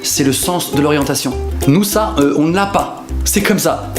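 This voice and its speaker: A man's voice: on the high side, moderate pace, music in the background. Casual self-mocking man, roomy sound. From northern France. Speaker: comedian. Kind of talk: comic sketch narration to camera.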